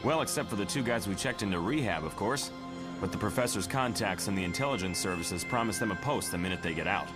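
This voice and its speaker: A man's voice: on the low side, animated, fast talker, over loud music, close to an everyday voice. A man voicing a wry, casual young student hero in a motion comic. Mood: matter-of-fact.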